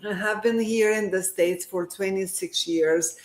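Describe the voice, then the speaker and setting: A woman's voice: mid-range voice, measured pace. A middle-aged woman speaking on a video call as a livestream guest, earnest and repeating her key phrases. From Bulgaria. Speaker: guest, sonographer. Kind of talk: casual livestream chat. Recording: echoey room with faint noise.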